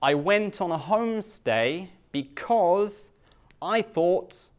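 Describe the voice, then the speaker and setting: British male guest speaker, unhurried, plain words, self-mocking humor. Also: high voice, animated. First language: English. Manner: simple slow English with self-mocking jokes. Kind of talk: live talk to a Japanese audience.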